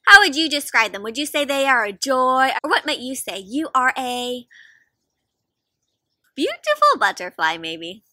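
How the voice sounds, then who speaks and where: Casting: young woman, children's read-aloud host, high-pitched and animated, inviting little listeners in with questions. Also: measured pace, no echo, studio quality. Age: young adult. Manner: expressive, asks frequent questions, gasps. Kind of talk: interactive picture-book read-aloud for children. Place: outdoors in a fenced backyard.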